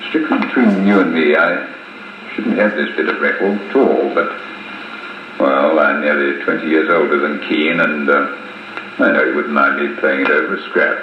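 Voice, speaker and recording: An actor playing an older, brisk, gentlemanly colonel telling a story in a 1950s radio drama, with clipped old-fashioned phrasing on an old wire recording. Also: male voice, a bass voice. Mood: confiding. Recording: muffled, echoey, hissy 1950s wire recording.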